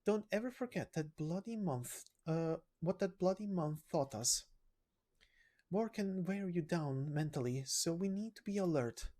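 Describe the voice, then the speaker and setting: A woman's voice: on the low side, animated, unhurried, warm tone. A woman voicing a war-weary comrade in a game story, speaking earnestly and cautioning firmly. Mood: grave warning.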